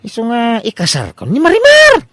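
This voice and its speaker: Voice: medium pitch, wildly swooping pitch, moderate pace, a bit bright, no room sound. A performer with an Ilocano accent playing a whiny, hapless, lovestruck younger son, stammering and pleading in a comedy radio drama. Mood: pleading, defensive.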